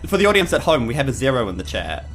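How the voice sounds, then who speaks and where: A man's voice: mid-range voice, very animated, racing, a bit bright, faint music behind. A man bantering casually and playfully as a player in a tabletop role-playing game. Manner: joking, playful.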